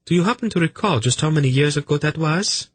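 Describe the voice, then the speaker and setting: A man's voice: fairly high pitch, lively intonation, quick pace, breathy edge, no room sound, pitched above the performer's own voice. A man voicing an impish, shrewd young woman of the court in a storybook, courteous and slyly teasing. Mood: sweetly curious. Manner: bright, courteous, slyly probing.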